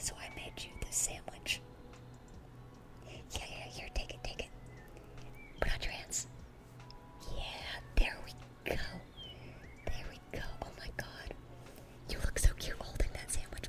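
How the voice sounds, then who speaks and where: A woman playing a dorky, affectionate young demon girl in a whispered ASMR roleplay, rambling and repeating herself eagerly. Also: natural voice. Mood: gushing, affectionate.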